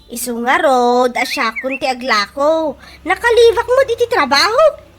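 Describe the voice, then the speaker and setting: An actress playing a hesitant, whiny young daughter new to vending in a comedy radio drama, with a Filipino accent. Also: female voice, sits high, normal speed, noisy background, lightly acted. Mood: plaintive.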